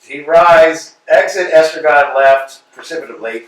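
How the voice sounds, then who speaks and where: A man's voice: a little high, a little slow, fairly bright tone, a little hiss or hum. A man reading stage directions as the narrator of a staged play reading. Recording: very roomy echo, distant mic.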